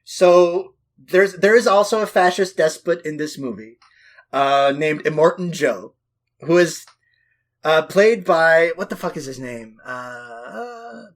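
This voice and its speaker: A man with a fairly high voice co-hosts a film podcast. He talks casually but emphatically, swearing freely and leaning into hyperbole. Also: animated, takes it slowly. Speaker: podcast co-host.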